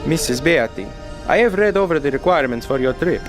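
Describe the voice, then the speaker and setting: A man's voice: on the high side, expressive, brisk, over music, close to an everyday voice. A man playing a devoted, gallant and protective father and pilot in an audio drama.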